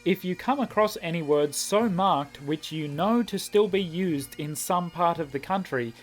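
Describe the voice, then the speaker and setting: Animated guy reading a book out loud and reacting, kinda high voice. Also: unhurried, soft background music. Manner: animated, reacts with surprise and laughter. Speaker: language vlogger. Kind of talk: reading a book aloud and commenting.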